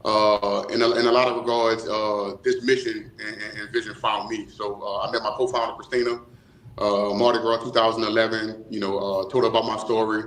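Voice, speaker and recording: A man giving reflective interview answers, choosing formal words in measured, hesitant phrases. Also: fairly low pitch, little pitch movement, fairly bright tone, a little hiss or hum. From New Orleans, USA. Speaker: nonprofit co-founder, interview guest. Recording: roomy, echoing voice.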